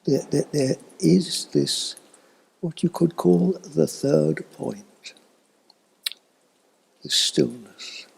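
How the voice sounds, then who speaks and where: An elderly man giving a slow, reflective spiritual talk in a husky, breathy voice that often fades toward a whisper, with long thoughtful pauses. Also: medium pitch, a little mellow, low-quality recording. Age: older, elderly. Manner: contemplative, halting with long pauses. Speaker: spiritual teacher.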